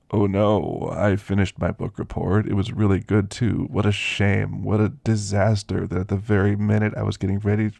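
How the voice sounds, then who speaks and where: Elderly werewolf , male actor, reflective ASMR storyteller: husky, weathered rasp, unhurried folksy delivery, close and dry recording. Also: deep voice, a little mellow, dry recording, heavily acted. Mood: wry, amused. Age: older. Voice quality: gravelly, husky. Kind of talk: ASMR roleplay monologue.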